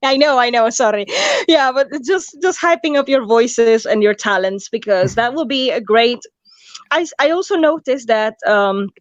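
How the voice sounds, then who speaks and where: A woman's voice: on the high side, normal speed, no room sound, dull audio. A woman hosting a casual writers' chat on a video call, chatty and emphatic, laughing often. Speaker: host, writer.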